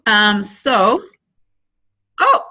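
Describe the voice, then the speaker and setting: A woman's voice: medium pitch, very animated, very slow, bright, forceful tone. A woman hosting a casual online business presentation, chatty and upbeat, with frequent ums and restarts. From Canada.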